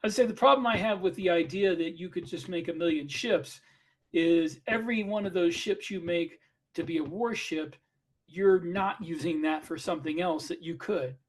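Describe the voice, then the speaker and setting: A man with a notably high-pitched voice speaks as a podcast co-host. He argues earnestly and methodically, in the loose style of a casual panel chat. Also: moderate pace.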